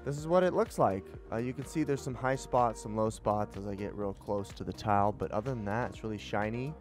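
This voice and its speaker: A man's voice: fairly low pitch, fairly quick, soft background music. A young man, a home improvement vlogger, chatting casually through his tiling job with tips and frank admissions of his own mistakes. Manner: chatty, self-critical, explaining tips. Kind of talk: casual DIY voice-over. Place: small basement bathroom, indoors.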